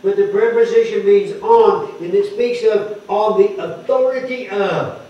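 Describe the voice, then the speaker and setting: An older man preaching at a lectern, explaining scripture word by word and stressing key words loudly. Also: sits high, measured pace, recorded from a distance. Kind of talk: sermon teaching scripture. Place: wood-paneled church hall, speaking at a lectern. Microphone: microphone on the lectern, heard from a distant camera. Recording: echoey hall heard from afar, dull.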